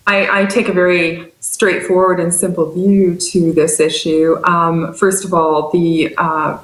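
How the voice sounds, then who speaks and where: Low-voiced woman professor, echoey room. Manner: direct, articulate. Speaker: professor, guest. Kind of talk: interview answers.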